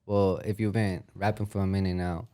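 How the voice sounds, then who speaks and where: A man's voice: deep voice, level tone, a little fast, warm tone. A man from San Diego's South Bay, co-hosting a casual chat in slangy, bro-heavy speech. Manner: slangy, repeats "bro" and "you feel me". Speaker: podcast co-host.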